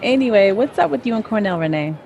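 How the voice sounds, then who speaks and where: A woman's voice: mid-range voice, lively intonation, fast talker, creaky, a little mellow. A woman acting in an audio drama as a brash, restless, unapologetic wife with a sassy, casual manner. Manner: sassy, casual girlfriend banter. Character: brash, restless married woman, unapologetic about her affair.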